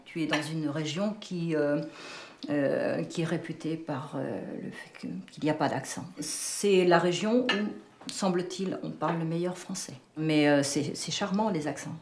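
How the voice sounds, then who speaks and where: A woman talks casually with her guests, hesitating a little as she makes her point. Her voice sits a bit low, the kitchen echoes, and faint dish clatter sounds nearby. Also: unhurried, a little mellow, slight background noise. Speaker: host to foreign students. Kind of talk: casual conversation. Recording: echoey kitchen, distant mic.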